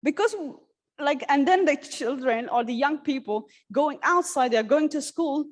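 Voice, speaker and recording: A woman from Syria giving an earnest, halting personal talk at a workshop, with many restarts and fillers. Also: sits high, animated. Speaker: conference speaker. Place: conference hall.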